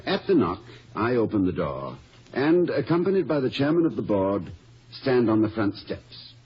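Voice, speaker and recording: An actor playing a courteous, fussy older college president in a 1950s radio comedy, hesitant and rambling with formal diction. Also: male voice, on the low side, sing-song, moderate pace, husky, exaggerated voice. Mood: earnest explaining. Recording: muffled, hissy old radio recording.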